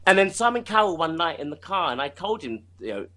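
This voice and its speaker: A man's voice: fairly high pitch, big pitch swings, brisk, pushed and bright. A man talking casually as an interview guest, rambling and repeating himself, laughing often. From United Kingdom.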